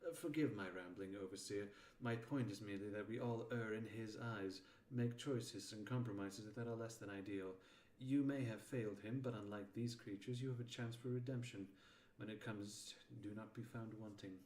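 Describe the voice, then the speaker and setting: A man reading the part of a weary, grave inquisitor in a dark sci-fi story, speaking formally and solemnly. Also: lowish voice, far from the mic, lightly acted. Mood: solemn counsel. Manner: formal, solemn, measured phrasing. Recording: roomy echo with faint background noise.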